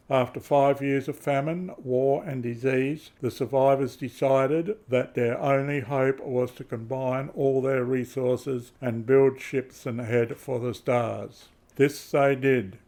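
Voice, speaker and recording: A man's voice: medium pitch, gravelly, darkish tone. A man playing an elderly, formal professor of history who lectures didactically in an amateur radio play. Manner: measured, didactic.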